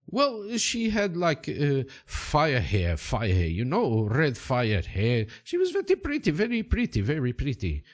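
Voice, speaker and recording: Friendly middle-aged riverboat captain played by a male storyteller, eager, repetitive, lilting put-on Portuguese accent, swooping high pitch. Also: huge pitch swings, unhurried, hardly any pauses, full character voice. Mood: eager, recalling. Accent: put-on Portuguese, Italian-sounding.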